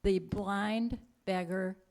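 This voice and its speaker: A woman's voice: medium pitch, fairly even intonation, a little mellow. A woman playing a solemn announcer in a 1930s radio drama, reading scripted narration dramatically. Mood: solemn, ominous. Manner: measured, dramatic.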